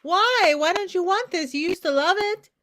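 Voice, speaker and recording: A woman's voice: extremely high pitch, animated, moderate pace, fairly bright tone. An actress playing an anxious, guilt-ridden mother of a college-age daughter in a staged play reading, pleading and insistent.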